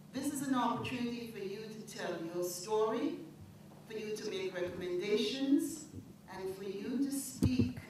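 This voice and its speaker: Woman addressing a room, echoey. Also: medium pitch. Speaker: organizer of a speakers bureau. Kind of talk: addressing an audience. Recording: echoey room, distant mic, noisy.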